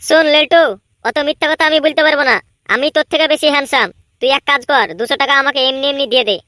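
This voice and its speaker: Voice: high-pitched, steady tone, very fast, pushed and bright, no echo, studio quality. A performer voicing a cheeky, greedy young male sidekick who needles and argues in a comedy cartoon. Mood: bargaining. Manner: needling, argumentative.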